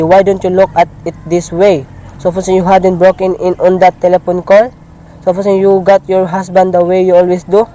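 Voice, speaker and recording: High-voiced, patronizing police officer acted by a man. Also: mellow, lightly acted, poor audio. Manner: patronizing, placating, matter-of-fact. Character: unhurried, dismissive New York police desk officer. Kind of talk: amateur radio play acting.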